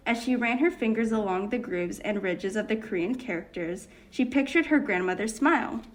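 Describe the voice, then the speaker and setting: A woman reading a children's picture book aloud in an expressive storytelling manner. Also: a little high, moderate pace. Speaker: volunteer reader.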